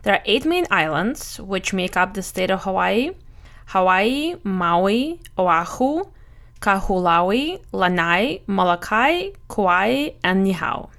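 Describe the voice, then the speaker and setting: A woman's voice: medium pitch, big pitch swings, slow pace, creaky, a bit bright. A woman in her thirties with a Russian accent mixed with some Brooklyn, hosting a conversational, scripted solo travel podcast. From Europe, moved to the US in youth. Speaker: podcast host, family travel planner.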